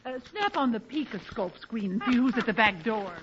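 A woman's voice: fairly high pitch, sing-song, normal speed. A woman playing a flustered, scolding mother in a 1940s radio comedy. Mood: exasperated. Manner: exasperated, fluttery, scolding. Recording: noisy, muffled 1940s radio recording.

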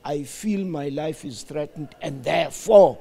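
An older South African man giving reflective interview answers in a deliberate, emphatic, explanatory manner. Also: a little high, vocal fry, mellow, breathy edge. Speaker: studio guest, former radio broadcaster.

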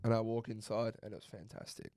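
A young man chatting easily on a podcast, swearing freely and laughing at his own jokes. His voice sits fairly low, recorded close and dry. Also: little pitch movement, quick pace, mellow, no room sound. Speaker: podcast co-host. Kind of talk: casual chat.

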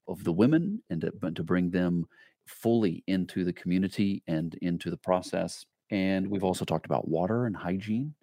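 A man's voice: low-pitched, a little mellow, no room sound, clean studio sound. A man hosting an interview podcast, speaking earnestly and drawing out words for emphasis. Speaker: podcast host.

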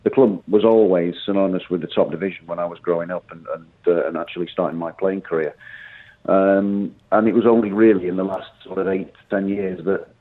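Phone guest: low-voiced man, halting speech full of restarts, tinny line. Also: steady tone. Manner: halting, many restarts and fillers. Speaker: football manager guest. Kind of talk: phone interview.

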